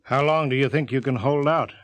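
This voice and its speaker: An actor playing a steady, reasoning rocket crew captain in a 1950s radio drama, terse and measured. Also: male voice, medium pitch, no room sound, close to an everyday voice. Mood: calm, probing. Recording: old 1950 radio recording.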